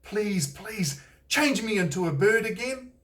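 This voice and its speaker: Eager, earnest New Zealand man acted by a man, unhurried. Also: high voice, lively intonation, fairly bright tone, distant mic, voice pushed up. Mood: pleading. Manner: pleading, earnest. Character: eager, restless young man longing to fly, storybook.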